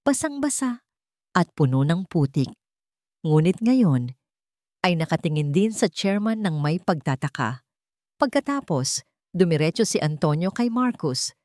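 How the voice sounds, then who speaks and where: Low-voiced woman narrating a dramatic story. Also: very animated, a little slow, pauses often, darkish tone, dry recording, clean studio sound.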